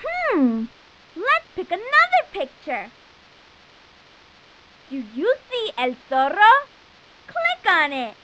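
A woman playing a cheerful, encouraging little girl cartoon explorer, enunciating clearly as she gives enthusiastic instructions in a children's educational game. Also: extremely high pitch, wildly swooping pitch, slow, pauses often, pushed and bright, a little hiss or hum, exaggerated voice, rough recording. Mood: encouraging, instructive.

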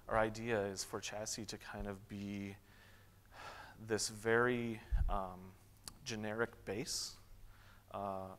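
A man in his thirties answering audience questions at a tech conference, relaxed, with lots of "um"s and restarts. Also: fairly low pitch. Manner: relaxed, many fillers and restarts. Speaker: conference keynote speaker. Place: large conference hall stage. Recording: long hall reverb.

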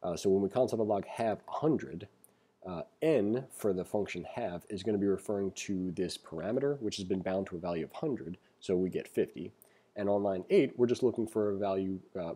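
Deep, mellow-voiced man teaching casually. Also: husky. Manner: informal, thinking aloud. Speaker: programming instructor. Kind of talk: casual read-along tutorial, reading aloud and commenting. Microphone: screen-recording voice-over.

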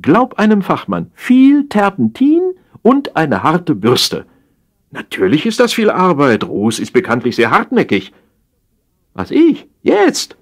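A man's voice: sits high, wildly swooping pitch, slow pace, pitched above the performer's own voice, exaggerated voice. A man playing a whiny, defensive party guest on a call to his friend, plaintive and full of exclamations in a comic radio play. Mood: sheepish, then indignant, refusing.

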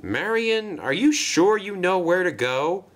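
A man's voice: high-pitched, very animated, unhurried, a bit bright, pitched above the performer's own voice, full character voice. A man voicing a wry, grumbling male engine in a children's train story, given to complaining. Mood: doubtful.

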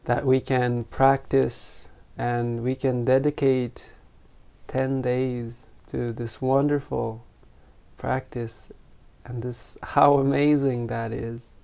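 A meditation teacher of unclear sex guiding a group in gentle, spontaneous talk, unhurried, with long pauses between short phrases. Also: mid-range voice, flat delivery, creaky. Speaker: meditation teacher leading an online retreat.

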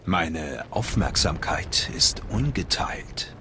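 An actor playing a cool, cocky and menacing male dark-side acolyte in a video game, clipped and self-assured. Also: deep voice, lively intonation, slow, a little hiss or hum, exaggerated voice. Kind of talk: video game voice acting in German.

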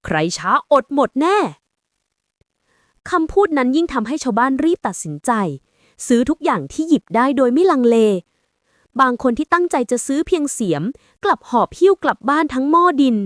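A woman reading a scripted story aloud as an expressive storyteller. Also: high voice, fairly quick, dry recording, clean studio sound.

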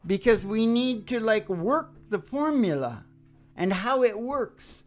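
A man's voice: high-pitched, lively intonation, takes it slowly. An older man hosting a music show with jokey, folksy, casual banter, speaking close to a microphone. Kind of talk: casual rambling stage banter. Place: small wood-panelled room, indoors. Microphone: close stage microphone on a stand. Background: acoustic guitar.

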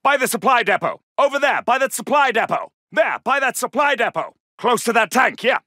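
WWII game soldier, very high strained pitch, very bright forceful tone, clipped urgent callouts, dry sound. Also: male voice, animated, brisk, dry recording, full character voice. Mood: brisk, confirming. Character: alert, gruff British male Second World War soldier. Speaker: video game voice actor. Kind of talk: shouted combat callouts.

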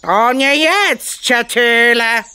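A woman's voice: fairly high pitch, very slow, pushed and bright, clear, resonant tone, exaggerated voice. A woman playing a curious, plucky, naive hen in a children's audio play, exclaiming and stammering into clucks. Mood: puzzled.

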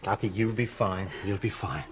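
An actor playing a courteous, earnest and soothing psychic medium in a television sitcom. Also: male voice, lowish voice, normal speed, close to an everyday voice. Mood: reassuring. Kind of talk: sitcom acting. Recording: studio audience, faint noise.

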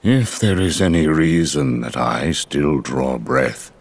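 An actor playing a remorseful, stiffly formal scientist in a video game cutscene, pleading and defending himself. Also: male voice, a bass voice, lively intonation, slow, gravelly, exaggerated voice.

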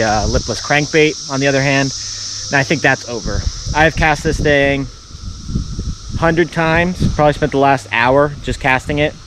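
A man's voice: mid-range voice, background noise. Laid-back man narrating his fishing outing. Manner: laid-back, chatty, bursts of excitement at catches. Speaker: fishing vlogger. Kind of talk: casual play-by-play while fishing. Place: outdoors wading a river tributary. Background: faint crickets and insects outdoors.

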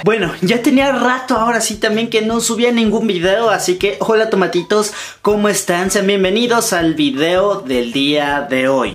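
A man in his twenties hosting a fan news vlog, speaking emphatically and directly to his viewers from a room at home. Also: sits high. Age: 20s. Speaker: YouTube host. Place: small room at home, indoors. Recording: music behind the voice. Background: background music.

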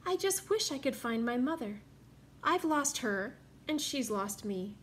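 A woman voicing a calm, thoughtful young wombat in a children's storybook, speaking slowly and gently. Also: high-pitched, a little hiss or hum. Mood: sad. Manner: slow, gentle, unhurried.

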